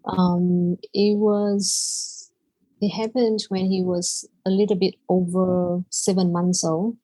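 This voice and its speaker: A woman's voice: lowish voice, level tone, slow, dark tone, no room sound, rough recording. A woman from Vietnam, a native Vietnamese speaker with a Vietnamese accent, telling her personal story as a podcast guest.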